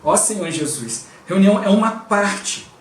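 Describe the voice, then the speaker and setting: A man's voice: sits high, expressive, a little slow, a little hiss or hum. A man with a Brazilian Portuguese accent giving a devotional sermon, talking conversationally and often breaking in with short invocations of the Lord. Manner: conversational, constantly interjects "O Lord Jesus" and "né". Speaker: preacher. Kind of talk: devotional preaching. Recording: roomy and distant from the mic.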